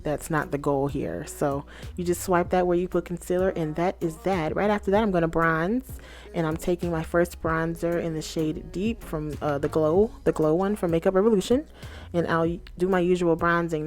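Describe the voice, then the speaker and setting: Chatty woman with a lowish voice. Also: darkish tone. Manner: chatty, upbeat, talks straight to viewers. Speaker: beauty vlogger. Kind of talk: casual makeup tutorial.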